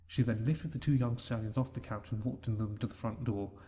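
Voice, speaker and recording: Brief: male narrator, calm storybook reading, soft mellow tone, middle pitch. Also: brisk. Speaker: reader. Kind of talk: fan fiction story narration.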